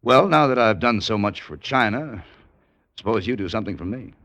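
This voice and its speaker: Deep-voiced hard-boiled American adventurer, animated. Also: male voice, fairly quick, dull audio. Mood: wry. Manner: terse, wry, dramatic. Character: hard-boiled American adventurer telling his own escape story. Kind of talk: 1940s-50s radio drama acting, first-person narration. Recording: vintage radio with faint music behind.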